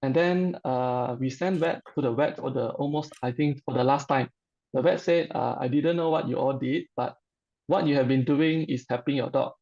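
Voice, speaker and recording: A middle-aged man with a Malaysian accent, giving an informal personal testimonial in a halting, hesitant way. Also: mid-range voice, gravelly, mellow, muffled recording.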